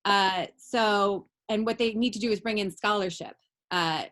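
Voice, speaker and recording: A woman's voice: mid-range voice, a little slow. A woman college teacher hosts a class video call, talking in a chatty way with lots of fillers. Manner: warm, chatty, many fillers.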